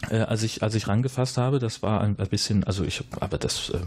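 A man's voice: fast talker, darkish tone, no echo, natural voice. A man doing a flustered, rambling suspect. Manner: rambling, hesitant, many fillers, jumps up in pitch when flustered. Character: flustered, evasive male base resident, rambling and awkward.

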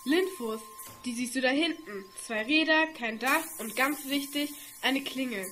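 Young female actor, high voice, as a helpful, matter-of-fact girl guide in an amateur radio play; ambient noise behind. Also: a little slow, pushed and bright. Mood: explaining. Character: helpful girl from a future world explaining vehicles.

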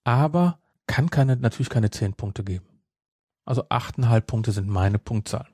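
A middle-aged man co-hosts a casual review podcast. His voice is dark and relaxed with a husky grain, recorded close and dry. He states opinions firmly and repeats himself for emphasis. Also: medium pitch, moderate pace, no echo. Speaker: podcast co-host.

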